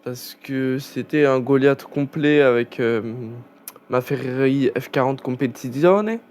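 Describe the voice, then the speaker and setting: A man's voice: medium pitch, a little slow, darkish tone, clean tone. A young man chatting casually over a racing game, rambling with many fillers and restarts. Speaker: gaming channel host. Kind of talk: casual gameplay commentary.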